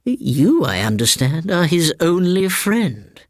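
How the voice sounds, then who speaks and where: An imperious old English aunt, as a male storyteller puts her on, pitched up high and swooping through each phrase. She is precise, sharp-tongued and condescending, recorded close and dry. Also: sing-song, takes it slowly, dry recording. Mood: probing, scornful.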